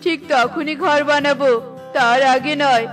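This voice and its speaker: High-voiced bullying crow husband acted by a woman. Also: a little slow, a bit bright, faint music behind. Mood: irritated, dismissive. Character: bullying male crow, thieving, dismissive husband. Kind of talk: children's cartoon dubbing.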